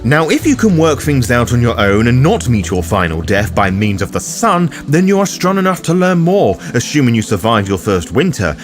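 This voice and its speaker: A man's voice: medium pitch, sing-song, normal speed, few pauses, soft background music. A man hosting a roleplaying guide, reading scripted explanatory narration in a theatrical, cheeky manner. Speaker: host of a tabletop roleplaying guide.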